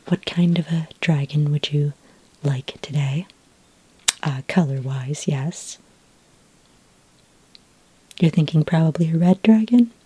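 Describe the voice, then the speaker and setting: A woman playing a friendly, patient dragon ranch expert in a soft-spoken ASMR roleplay, whispery and breathy, explaining gently and hesitantly. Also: low voice, no room sound, full character voice.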